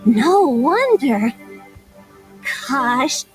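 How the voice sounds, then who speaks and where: A woman's voice: sits high, big pitch swings, very slow, soft background music, exaggerated voice. A woman voicing a naive, forgetful beast girl in a video game, hesitant and rambling but proud. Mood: puzzled, naive.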